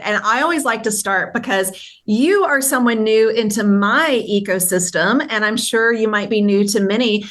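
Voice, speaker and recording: A woman hosting an interview podcast, speaking in an upbeat, enthusiastic and effusive way. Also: mid-range voice. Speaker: podcast host.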